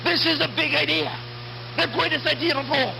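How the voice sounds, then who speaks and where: A man with a very high-pitched voice preaches with passion and dramatic emphasis. He repeats himself in short, punchy phrases. The shortwave broadcast is buried in loud noise and hum. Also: a little fast, creaky. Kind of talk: impassioned sermon. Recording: noisy humming shortwave radio broadcast. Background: loud static and hum.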